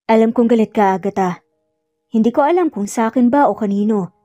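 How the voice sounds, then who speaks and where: A woman's voice: a little high, a little fast, warm tone, no echo, dull audio, soft background music, natural voice. A woman voicing a frustrated man, an earnest and insistent former lover pleading in an audio love story.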